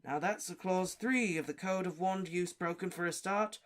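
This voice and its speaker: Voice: medium pitch, moderate pace, pitched above the performer's own voice, lightly acted. A reader voicing a stern, officious male wizard official who barks his questions. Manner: sternly barking, interrogating.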